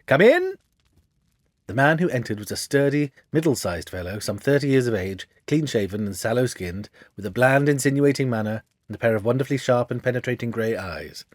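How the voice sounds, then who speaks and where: A man's voice: mid-range voice, fairly quick, hardly any pauses, no room sound, studio quality. A man reading a story aloud as a dramatic narrator. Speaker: audiobook narrator.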